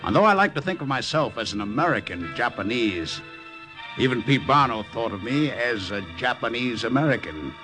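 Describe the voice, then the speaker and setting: A man's voice: mid-range voice, lively intonation, moderate pace, lightly acted. A man playing a composed, patient Japanese American airman and narrator in a 1950s radio drama. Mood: reflective narration. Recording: old 1950s radio broadcast with music.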